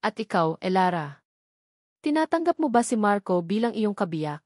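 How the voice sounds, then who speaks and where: A woman's voice: mid-range voice, sing-song, normal speed, darkish tone, no room sound, studio quality. A woman with a Filipino accent voicing a solemn male priest, formal and ceremonial, in a narrated love story.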